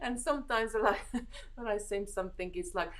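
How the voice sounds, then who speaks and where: A woman's voice: medium pitch, normal speed. A woman with a Hungarian accent hosting a casual live chat from home, reading viewer comments and answering with a reassuring, rambling manner full of fillers and restarts. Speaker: host of a beading live stream.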